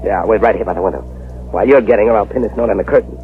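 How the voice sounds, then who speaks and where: An actor playing a ruthless, bossy gangster who gives curt orders in an old radio drama. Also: male voice, lowish voice, racing, faint music behind, close to an everyday voice. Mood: scheming. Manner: gruff, bossy, menacing. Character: ruthless male gangster boss. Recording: muffled scratchy old radio broadcast with faint hiss.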